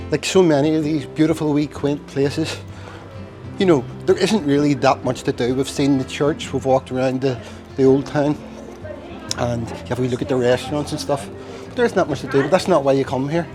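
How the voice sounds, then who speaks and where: An Irish man narrates a casual walking tour as he goes, chatty and rambling, with self-deprecating asides. He sounds a little chesty. Music plays clearly underneath his voice. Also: mid-range voice. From Ireland. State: chesty, with a tickly cough. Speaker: travel vlogger. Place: outdoors walking through narrow old-town streets.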